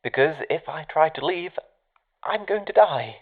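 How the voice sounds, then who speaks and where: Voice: medium pitch, expressive, a little slow. Thin phone-like radio filter, actor as dry polite scientist, hesitant, formal, understated, audio drama. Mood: uneasy, polite. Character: evasive, polite, dryly calm scientist in charge of an experiment. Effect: radio channel filter.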